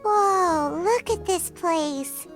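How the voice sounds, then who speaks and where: An actress voicing a tiny, childlike, curious and excitable fairy companion in a video game. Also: female voice, extremely slow, full character voice. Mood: curious, amazed. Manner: squeaky, wide-eyed. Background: music.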